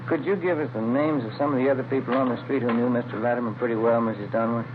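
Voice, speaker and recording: An actor playing a courteous, matter-of-fact police detective sidekick in a vintage radio crime drama. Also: male voice, steady tone, very fast, natural voice. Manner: matter-of-fact, polite, low-key. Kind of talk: 1940s-50s radio crime drama acting. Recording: thin, phone-like vintage radio recording, faint hiss.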